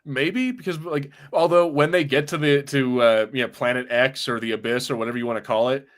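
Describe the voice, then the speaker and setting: A man with a medium-pitched voice discusses pop culture as a podcast co-host. He rambles casually and haltingly, leaning heavily on "like" as he works through his point. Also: fairly even intonation, a bit bright. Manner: rambling, halting, heavy use of "like".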